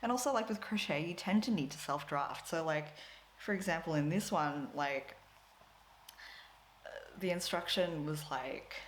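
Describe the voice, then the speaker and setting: A woman's voice: low voice, normal speed, pauses often, slight background noise. A woman, a Tagalog speaker, chatting casually to camera as a crafting vlogger, rambling with lots of fillers and frequent laughs. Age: 20s.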